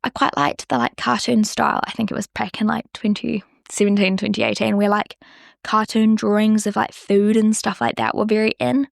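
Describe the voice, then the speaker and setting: A woman's voice: mid-range voice, level tone, normal speed, gravelly, no echo, clean studio sound. A young woman with a New Zealand accent, hosting a podcast in a chatty, rambling way full of "like". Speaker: podcast host. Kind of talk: casual solo podcast chat.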